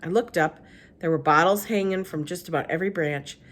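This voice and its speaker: A woman's voice: on the low side, brisk. A woman narrating a children's storybook aloud like a teacher reading to a class.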